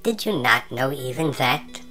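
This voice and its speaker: A man voicing a calm, learned male moth who speaks with precise, knowing composure. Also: medium pitch, normal speed, soft background music, voice pushed up. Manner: calm, knowing, slightly superior.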